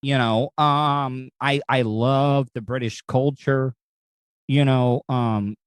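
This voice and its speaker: American man, podcast host, rambling stop-start talk, mid pitch, dry close sound. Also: steady tone, takes it slowly, clear, resonant tone, no room sound. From United States. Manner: rambling, repeats words and restarts often. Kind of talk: casual podcast chat. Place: outdoors outside a hospital.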